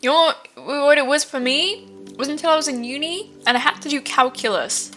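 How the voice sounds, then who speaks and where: A woman streamer talks loosely to her chat, full of ums and likes, with a stuffed-up nose. Her voice sits a little high and sounds bright and crisp. Faint music plays underneath. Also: a little fast. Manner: rambling, many fillers, swears casually. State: stuffy nose from hay fever. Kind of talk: casual chat with viewers.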